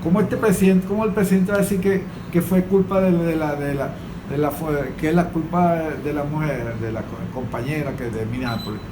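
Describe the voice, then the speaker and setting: A Venezuelan man with a slightly high voice talks politics informally as a panelist. His phrasing is halting, circling back and repeating words. The room is echoey and the recording clearly noisy. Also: fairly even intonation.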